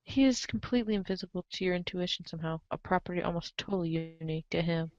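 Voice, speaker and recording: A woman's voice: on the low side, fast talker, darkish tone, dry recording, dull audio. A woman reading scripted narration aloud in a theatrical, wry way, with casual asides.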